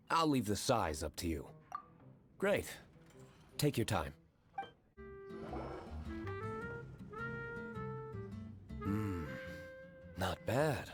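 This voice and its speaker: An actor playing a composed, courteous young gentleman tavern owner in a video game, speaking in a measured, understated way. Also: fairly low pitch. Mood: calm, approving. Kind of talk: game character acting.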